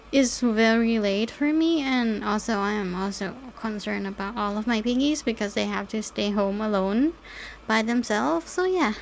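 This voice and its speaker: High-voiced, slow-talking woman podcaster. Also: slight background noise. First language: not English. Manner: rambling, giggly, often trailing into small asides. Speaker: podcast host. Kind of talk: casual solo chat with personal anecdotes.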